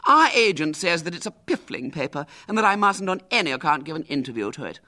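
A man's voice: a little high, big pitch swings, quick pace, sharp tone, full character voice. A reader voicing a spoiled, self-important famous little boy with a haughty manner. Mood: dismissive.

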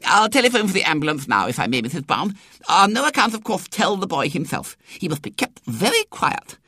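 A man's voice: sits high, big pitch swings, a little fast, sharp tone, slightly rough, dry recording, clean studio sound, pitched above the performer's own voice, full character voice. A man voicing a self-important young doctor in a story, pompous and portentous. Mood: officious.

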